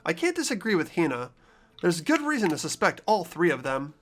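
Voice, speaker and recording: A man narrates a game's story and the hero's thoughts with an animated, swinging voice. Light music hums under him. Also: on the high side, a little fast. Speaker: narrator and player. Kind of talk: reading game narration.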